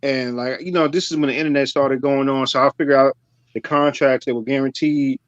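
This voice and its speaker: A man's voice: medium pitch, fairly even intonation, a little fast, no room sound. A man in his forties talking casually and conversationally about wrestling as a podcast panelist. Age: 40s. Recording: dull, dry lo-fi sound.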